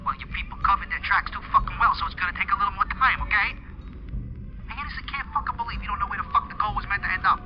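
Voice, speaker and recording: An actor playing a furtive, impatient mobster who talks in a profane, hurried, secretive way. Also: male voice, high voice, dull audio, background noise, natural voice.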